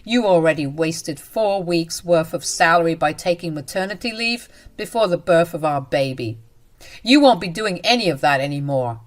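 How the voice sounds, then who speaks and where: A woman's voice: lowish voice, animated, normal speed, lightly acted. A woman voicing an angry, bullying husband, speaking in a harsh, demanding, raised voice. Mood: threatening.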